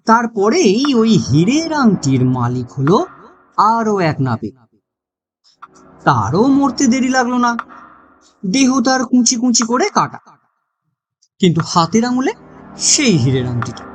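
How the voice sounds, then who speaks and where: A narrator reading a scripted horror mystery story in a dramatic storytelling manner. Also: medium pitch.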